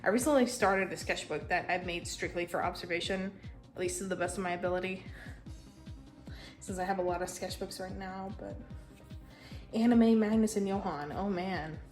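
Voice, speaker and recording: A young woman with a congested, stuffy voice, casually touring her sketchbook in a tired, low-key way and swearing now and then. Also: normal speed, soft background music. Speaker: artist showing a sketchbook.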